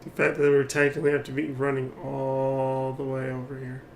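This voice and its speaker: A woman's voice: rumbling low, completely flat delivery, brisk, creaky, a little hiss or hum. A woman game streamer giving casual commentary while playing through a story.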